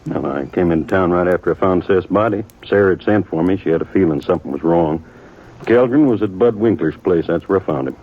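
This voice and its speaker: Deep husky frontier marshal. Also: male voice, fairly quick. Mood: insistent. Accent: American. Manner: plain, folksy, clipped frontier speech. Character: quiet, capable small-town frontier marshal, plain-spoken and firm. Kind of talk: 1950s radio western acting. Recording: tinny, narrow-band old radio recording.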